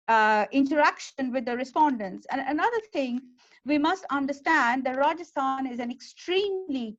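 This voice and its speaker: Indian-accented woman academic presenting her fieldwork over a video call; high-pitched, searches for words and corrects herself, muffled lo-fi sound. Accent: Indian English. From West Bengal, India. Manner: fillers and self-corrections, explanatory. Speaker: academic speaker. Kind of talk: webinar presentation.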